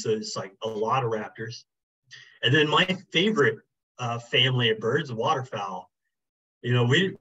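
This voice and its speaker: Relaxed man on a muffled video call. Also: medium pitch, husky. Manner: relaxed, many fillers like um and you know. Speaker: birding workshop presenter. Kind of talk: casual online workshop talk. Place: small room indoors. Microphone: video call webcam.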